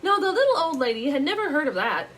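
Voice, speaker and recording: A woman reading a picture book aloud in an animated, teacherly way, pausing to put questions to young listeners. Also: high-pitched, a little hiss or hum, rough recording.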